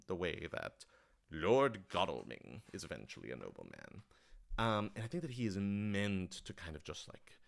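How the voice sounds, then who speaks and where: A man with a low-pitched voice speaks in a casual, off-the-cuff livestream style. He explains things thoughtfully and with some animation, often qualifying his words as he works toward the point. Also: vocal fry. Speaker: writer-director answering audience questions.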